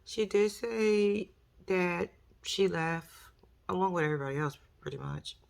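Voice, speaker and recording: A woman with a New York accent talks casually on her vlog, her voice raspy and gravelly and sitting fairly low. She is chatty and slangy, and she acts out what other people said. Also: unhurried. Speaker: YouTube commentary host.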